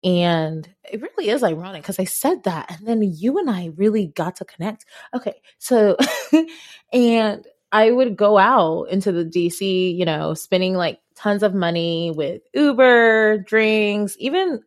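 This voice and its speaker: A woman's voice: medium pitch, animated, unhurried. A woman from Ghana hosting a podcast, telling personal stories in a casual, emphatic way and speaking straight to her listeners. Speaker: podcast host. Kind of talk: casual conversational storytelling.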